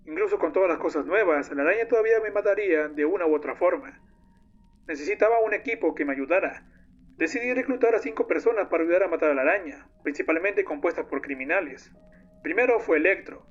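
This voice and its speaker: A solemn young man, acted by a male narrator recounts his friend's monstrous downfall as scripted horror diary entries. His voice sits slightly high, grieving and vengeful, in a muffled lo-fi recording with music behind it. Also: close to an everyday voice. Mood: determined, grim. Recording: muffled lo-fi audio under loud music.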